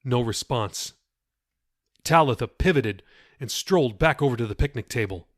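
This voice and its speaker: A man narrating his own novel in a measured, expressive storytelling voice. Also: medium pitch, dry recording, studio quality. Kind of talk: audiobook narration.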